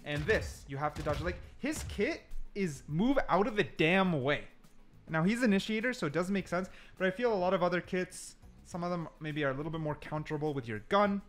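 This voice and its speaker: A man's voice: sits high, expressive, a little fast, faint music behind. A man giving casual gameplay commentary, explaining things and repeating points for emphasis. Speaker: gaming video creator.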